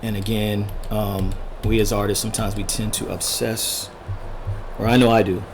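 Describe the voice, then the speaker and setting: Male art instructor, low gravelly voice slightly tired from talking, informal think-aloud delivery over faint background noise. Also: flat delivery. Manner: unhurried, thinking aloud in short fragments. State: losing his voice, throat-clearing. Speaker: art tutorial host, illustrator. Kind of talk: casual drawing tutorial narrated while sketching.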